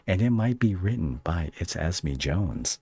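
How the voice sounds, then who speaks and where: Animated man hosting a casual chat. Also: low-pitched, a little slow, slightly rough, dry recording. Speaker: podcast host interviewing a guest.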